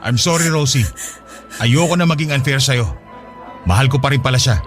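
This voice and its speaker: A man's voice: medium pitch, moderate pace, a bit bright, faint music behind, lightly acted. A man playing an irritable, evasive young boyfriend in a Tagalog radio drama, with a defensive, exasperated manner. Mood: resolute, confessing.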